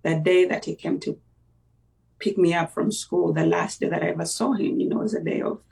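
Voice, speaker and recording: Gravelly voice, halting. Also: mid-range voice, fairly even intonation, a little mellow, far from the mic, low-quality recording. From Uganda. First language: Luganda. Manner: reflective, halting, with many fillers and restarts. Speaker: interview guest. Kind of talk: personal faith testimony in conversation.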